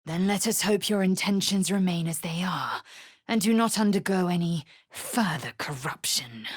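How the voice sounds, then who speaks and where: A woman playing a fierce, proud alien warrior in a video game, speaking in a haughty, clipped, formal manner with a husky edge. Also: on the low side, moderate pace, dry recording, studio quality. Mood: commanding. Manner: imperious, clipped, formal phrasing. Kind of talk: video game character acting.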